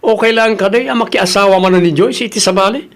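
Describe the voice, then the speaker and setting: A man's voice: high-pitched, fast talker, a little hiss or hum. A man playing a stingy, demanding husband in an audio drama, complaining and controlling, with an Ilocano accent. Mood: anxious. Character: stingy, demanding husband Daniel, turning anxious.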